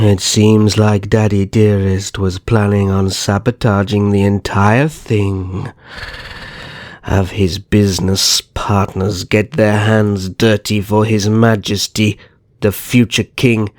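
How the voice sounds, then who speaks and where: A man's voice: fairly low pitch, darkish tone, dry recording, clean studio sound. A man playing a haughty, calculating vampire naga kidnapper in an ASMR roleplay, speaking in a formal, disdainful, theatrical way. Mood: sardonic.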